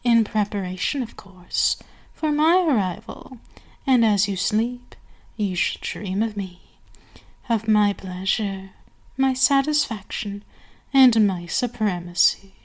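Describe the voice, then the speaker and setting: A woman performs as a domineering vampire queen in an intimate ASMR roleplay. She speaks very slowly and haughtily at a middling female pitch, letting pauses linger and slipping into breathy whispers. She is seductive and cruel, every phrase measured like a command. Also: lively intonation. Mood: gloating, silky.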